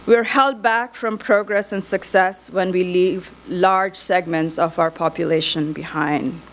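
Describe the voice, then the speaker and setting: A woman giving opening remarks and introducing speakers as moderator, measured with some restarts. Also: on the low side, steady tone, slight background noise. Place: conference hall.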